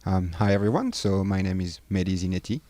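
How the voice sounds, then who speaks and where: Low-voiced Frenchman explaining calmly. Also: a little fast, no room sound. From France. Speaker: guest, cave diving instructor. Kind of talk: casual interview.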